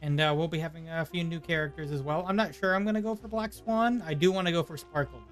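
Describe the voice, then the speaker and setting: A man with a somewhat high voice chats casually as he streams a game. His commentary is informal and reactive, with faint music behind it. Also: quick pace, fairly bright tone. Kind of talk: casual live gameplay commentary.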